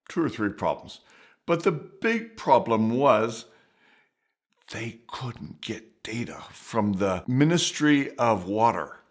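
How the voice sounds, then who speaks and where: A middle-aged man telling a personal story at home in a rambling, conversational way, often restarting his sentences and adding asides. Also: mid-range voice. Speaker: storyteller, mission worker. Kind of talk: casual spoken anecdote.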